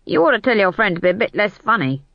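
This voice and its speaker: A woman voicing a gruff male dwarf warrior who blusters and gabbles in a gossipy way. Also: medium pitch, very animated, fast, no echo, voice pushed up. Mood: menacing. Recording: slightly dull, dry recording.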